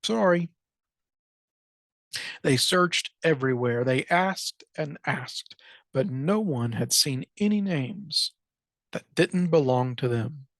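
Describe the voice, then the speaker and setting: A storyteller reading a children's picture book aloud in an expressive read-aloud style. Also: mid-range voice, takes it slowly, dry recording, studio quality. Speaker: librarian, storytime reader.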